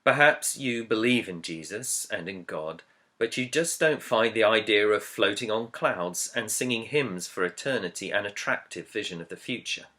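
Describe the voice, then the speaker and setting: A man, an author, reading his own book introduction as scripted narration in a measured, earnest, reflective way. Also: fairly low pitch, pushed and bright. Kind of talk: scripted audiobook-style narration.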